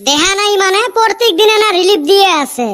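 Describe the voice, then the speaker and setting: A man's voice: very high-pitched, fast. A man voicing a grumbling village man in a cartoon, speaking a northern Bengal village dialect and cursing often. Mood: indignant. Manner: cursing, complaining, lively rural banter. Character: squeaky-voiced village man, grumbling and quick to curse. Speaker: cartoon character.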